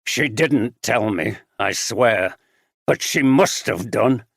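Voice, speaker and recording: A man's voice: medium pitch, animated, slow, vocal fry, no echo, clean studio sound, exaggerated voice. A man playing a distraught man who is shaken and tearful.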